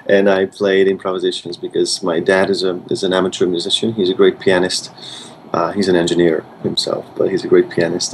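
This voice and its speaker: A low-voiced man of thirty with a Hungarian accent and a gravelly edge, answering questions thoughtfully. He pauses, repeats words and circles back as he finds his sentences. Faint noise sits under the recording. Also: a little mellow, rough recording. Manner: thoughtful, many restarts and fillers. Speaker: pianist guest. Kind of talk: interview answers.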